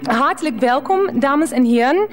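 A woman's voice: high voice, animated, normal speed. A woman playing a sweet, doting German lodger in a radio play, coaxing and slipping German words into her German-accented speech. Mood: nervous, formal.

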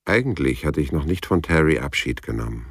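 Very deep, laconic hard-boiled detective. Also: male voice, expressive, fairly quick. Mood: melancholy, reflective. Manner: dry, laconic. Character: laconic, hard-boiled male private detective, world-weary narrator. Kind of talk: radio crime drama narration and dialogue. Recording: music behind the voice.

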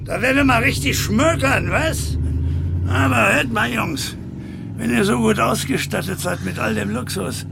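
Mean sneering army man in a radio play, really high creaky voice, loud engine noise behind. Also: measured pace, full character voice. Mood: loud, mocking. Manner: mocking, coarse, laughing derisively. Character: jeering, brutish, cynical German soldier in wartime. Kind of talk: radio drama acting.

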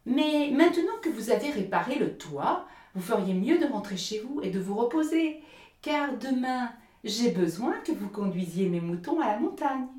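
A woman voicing a selfish, sanctimonious male miller, lecturing loudly in a storybook reading. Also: a little high, big pitch swings, normal speed, pitched above the performer's own voice. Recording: roomy echo.

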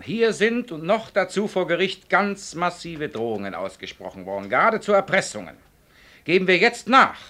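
An unyielding, pompous boss in a radio drama. His voice is fairly high and forceful, swinging widely in pitch as he lectures in formal, emphatic phrases. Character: stern, unyielding company director, self-important. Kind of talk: German radio play acting.

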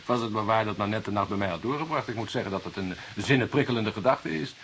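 An actor playing a young journalist in a Dutch radio drama, polite and hesitant, evasive with a wry, cheeky streak. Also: male voice, fairly low pitch, quick pace, fairly bright tone. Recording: hissy old radio play recording.

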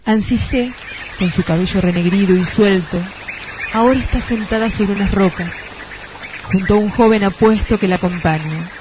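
A woman's voice: medium pitch, moderate pace, background noise, natural voice. A woman playing an overwrought, theatrical narrator of a lurid gothic jungle tale in a radio play. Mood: ominous. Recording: lo-fi radio sound with music behind.

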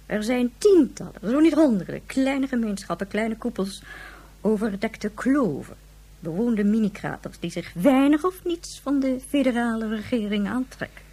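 Haughty stateswoman, very husky yet fairly high, measured and formal, radio drama. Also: animated, warm tone. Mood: stern, authoritative. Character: stern, haughty female head of government. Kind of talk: science fiction radio play acting.

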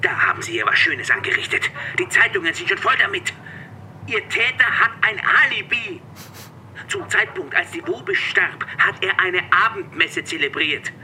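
A man's voice: high voice, big pitch swings, normal speed, sharp tone, slight background noise, exaggerated voice, low-quality recording. An actor playing a stern, irritated male police superior in a radio drama. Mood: stern, reproachful.

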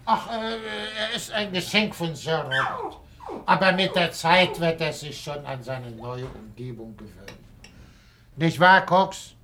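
A man's voice: on the high side, expressive, measured pace, heavy background noise. A man playing a hearty, chatty country innkeeper in a radio play, jovial and confiding, a little hesitant and cautious. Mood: hesitant, good-natured. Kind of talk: German radio play acting.

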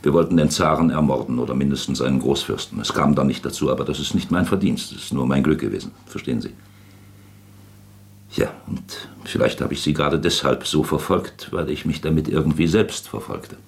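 An actor playing an older gentleman investigator in a radio drama, grave, patient and probing, with measured, insistent speech and a gravelly voice. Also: rumbling low. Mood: confiding. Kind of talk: German radio drama acting.

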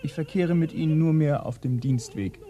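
An actor playing an inquisitive police assistant in a radio play, politely probing but easily put out. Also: male voice, a little high, moderate pace, a crowd in the background, natural voice. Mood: huffy, formal. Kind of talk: German radio play acting.

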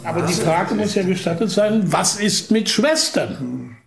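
An eager outspoken member in a radio play, creaky, pitch all over. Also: male voice, expressive, a little slow, loud music behind the voice, exaggerated voice. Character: outspoken, eager advocate for admitting women. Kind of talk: German radio play acting.

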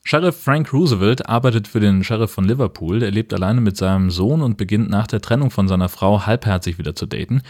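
A man with a fairly low voice hosts a podcast. He chats casually and narrates scripted comedy. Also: brisk, few pauses, darkish tone. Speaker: podcast host.

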